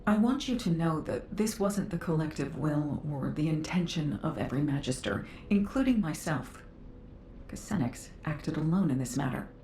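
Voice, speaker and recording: Low-voiced formal magister, echoey. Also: female voice, lively intonation, dark tone. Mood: apologetic, formal. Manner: formal, polite, measured. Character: formal, apologetic female magister, composed and sincere. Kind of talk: dramatic video game dialogue. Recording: roomy echo, faint rumbling noise.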